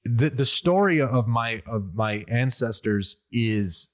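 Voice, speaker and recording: A man's voice: mid-range voice, expressive, slow. A young man from the United States whose first language was Armenian, hosting a casual conversation with frequent hesitations and restarts. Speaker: podcast host.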